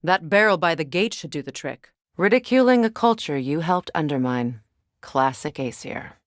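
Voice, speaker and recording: A woman playing a guarded, battle-hardened warrior goddess and sorceress in a video game, measured, dry and pointed in her delivery. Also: deep voice, no room sound. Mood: measured, watchful. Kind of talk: dramatic video game character acting.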